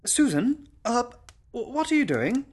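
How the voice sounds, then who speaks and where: A man's voice: very high voice, sing-song, measured pace, husky, voice pushed up, heavily acted. A man voicing an anxious, well-to-do lady with a hesitant, nervous stammer in a storybook reading.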